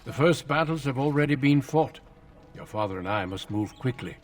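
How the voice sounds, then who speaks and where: An actor playing a grave, courteous warrior recruiter in a fantasy game, speaking formally and solemnly. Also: male voice, medium pitch, lively intonation, moderate pace, recorded from a distance, close to an everyday voice.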